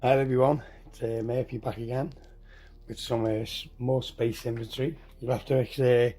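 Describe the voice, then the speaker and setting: A hoarse man getting over a cold walks through a tabletop game setup, halting, correcting himself and clearing his throat. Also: mid-range voice, flat delivery, dark tone, faint music behind. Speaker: tabletop game tutorial host. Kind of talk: casual step-by-step game setup walkthrough.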